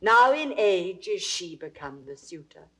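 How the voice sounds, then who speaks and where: A woman's voice: fairly low pitch, sing-song, bright, forceful tone, heavily acted. A British woman acting a commanding, solemn noblewoman in classical Shakespearean audio drama, stately and incantatory. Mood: incantatory awe. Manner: stately, incantatory, deliberate phrasing.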